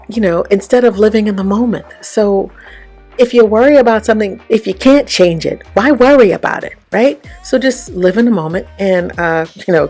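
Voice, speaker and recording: A woman with a raspy voice giving casual motivational advice to her viewers, often checking in with "okay?" and "right?". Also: on the low side. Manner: chatty, frequent "okay?" and "right?" check-ins. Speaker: vlogger and life coach. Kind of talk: casual motivational talk to camera.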